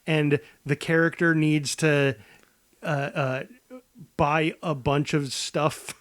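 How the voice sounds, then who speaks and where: A man with a slightly high voice co-hosts a comedy podcast. He banters casually, riffing wryly and feeling his way haltingly through his phrases. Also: little pitch movement, fairly bright tone. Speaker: podcast co-host.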